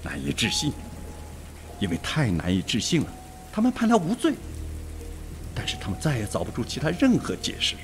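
A man's voice: mid-range voice, wildly swooping pitch, fairly quick, long pauses, faint music behind. A man acting an insistent, suspicious police detective in a Mandarin radio drama. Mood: urgent, suspicious.

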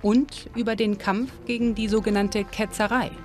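Female documentary narrator, measured and even, mid-pitched voice, voice-over laid over clear music. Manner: calm, measured storytelling. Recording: studio voice-over with music behind.

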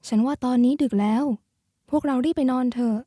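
A woman voicing a lively, caring schoolgirl in expressive cartoon character lines, youthful and familiar as if among friends. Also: high-pitched, fairly quick, no room sound, studio quality. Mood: gentle concern. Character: lively, caring schoolgirl who loves a card-flicking game.

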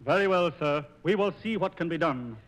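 An actor playing a courteous, dignified lieutenant in a 1940s radio operetta. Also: male voice, fairly high pitch, animated, moderate pace, a bit bright. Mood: courteous, earnest. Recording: slightly noisy 1940s radio recording.

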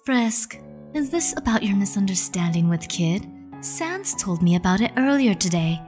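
A woman voicing a gentle, caring goat-monster mother in a cartoon comic dub, choosing her words carefully. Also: mid-range voice, very animated, normal speed, soft background music, natural voice. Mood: concerned, gentle. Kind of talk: comic dub character acting.

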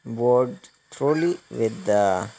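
A man giving step-by-step hands-on repair instructions in a halting, repetitive way. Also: medium pitch, animated, gravelly, noisy background. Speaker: repair tutorial presenter.

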